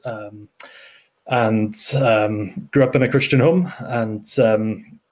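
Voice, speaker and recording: A man's voice: on the low side, steady tone, takes it slowly, darkish tone. A middle-aged Scottish man answering interview questions in a thoughtful, explanatory way, with frequent ums and restarts. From Scotland. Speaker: guest theologian.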